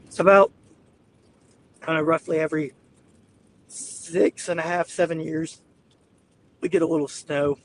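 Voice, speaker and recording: Raspy man streaming from his car. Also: fairly high pitch, fairly even intonation, unhurried, long pauses, slight background noise. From Southeast Georgia. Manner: easygoing, addresses viewers as y'all. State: getting over the flu, hiccups. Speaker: weather livestreamer. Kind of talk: live storm-chasing stream commentary. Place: inside a car, driving on icy roads.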